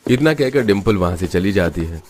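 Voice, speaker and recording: A man's voice: low-pitched, animated, a little fast, a little mellow. A man giving scripted narration for a cartoon family story.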